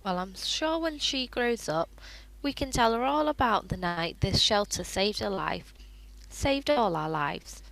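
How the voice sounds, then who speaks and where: A woman's voice: medium pitch, animated, unhurried, creaky, fairly bright tone, low-quality recording. An actress in a radio play playing a reassuring wartime daughter who soothingly comforts her mother. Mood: comforting.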